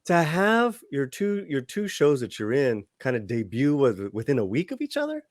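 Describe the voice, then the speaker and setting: A man hosts a relaxed interview and asks long, winding questions full of fillers and restarts. The recording is dry and close, with no room echo. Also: mid-range voice, normal speed. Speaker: interview host. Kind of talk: casual interview.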